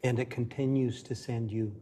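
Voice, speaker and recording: A man's voice: medium pitch, steady tone, normal speed, warm tone, slight background noise. A man chairing a city council meeting, speaking plainly with many "uh" fillers into a meeting room microphone. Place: council chamber.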